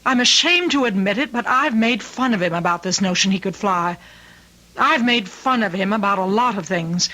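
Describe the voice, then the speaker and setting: A woman's voice: mid-range voice, animated, normal speed, bright, forceful tone, low-quality recording. An actress playing an anxious, doting middle-aged mother in an old-time radio drama, speaking in an earnest, fretful way. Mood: earnest, confessing.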